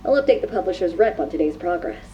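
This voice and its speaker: A businesslike female assistant, very lively pitch, polite, slightly muffled with faint hum. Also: quick pace.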